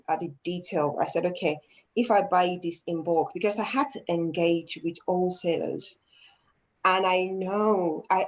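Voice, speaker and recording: A woman's voice: fairly low pitch, rough recording. A woman with a Nigerian accent, talking through her small business in a casual group discussion, often restarting her sentences. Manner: thoughtful, restarts often, laughs easily.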